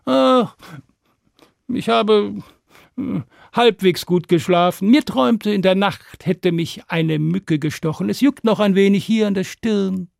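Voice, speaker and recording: A man's voice: high voice, unhurried, vocal fry, dry recording, clean studio sound, voice pushed up, heavily acted. A man voicing a crafty, bluffing peasant hero in a fairy tale, breezy, mock-modest and teasing.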